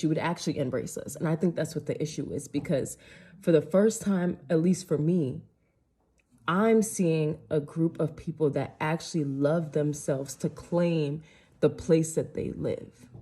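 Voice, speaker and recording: A woman's voice: deep voice, moderate pace, mellow, breathy edge. A woman, delivering an indignant rant to camera full of rhetorical questions. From United States. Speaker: social media commentator. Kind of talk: impassioned direct-to-camera rant.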